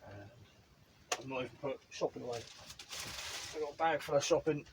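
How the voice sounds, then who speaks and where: A man's voice: medium pitch, a little fast, heavy background noise. A man with a British accent chatting casually to camera as he vlogs from inside a small motorhome. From United Kingdom. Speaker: vlogger and amateur radio hobbyist. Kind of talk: casual vlog chat to camera.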